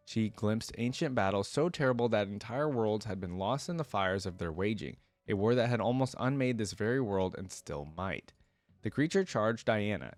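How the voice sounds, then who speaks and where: A man's voice: on the low side, darkish tone, no room sound. A man hosting a reaction video, reading a fantasy story aloud and adding casual fan commentary.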